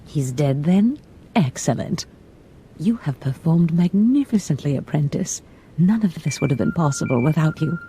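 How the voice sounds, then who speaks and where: A woman playing a smooth, scheming Sith noblewoman in a video game, theatrical, honeyed and polite, with mock innocence. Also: deep voice, animated, normal speed, warm tone, slight background noise. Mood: pleased, conspiratorial.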